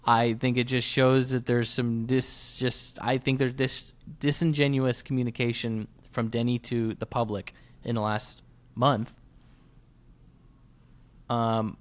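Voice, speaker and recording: A man's voice: medium pitch, little pitch movement, takes it slowly. Man casually talking racing as co-host. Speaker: motorsports podcast co-host. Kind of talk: casual sports analysis chat.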